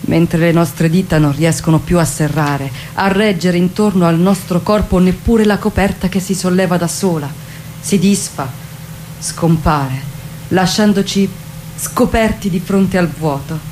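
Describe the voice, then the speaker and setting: A woman's voice: lowish voice, unhurried, darkish tone, slight background noise. A woman delivering a poetic dramatic monologue.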